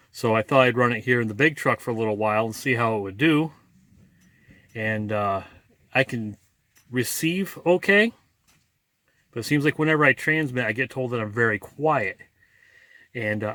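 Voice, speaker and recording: A man's voice: medium pitch, a bit bright, dry recording. A man with a folksy, rambling manner, chatting casually as a vlogger inside a truck cab. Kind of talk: casual vlog chat.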